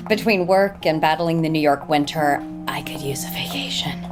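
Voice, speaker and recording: A woman's voice: deep voice, normal speed, close to an everyday voice. An actress playing a driven, busy businesswoman and guarded company co-owner in an audio soap opera, polite and businesslike. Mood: cordial. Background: music under the dialogue.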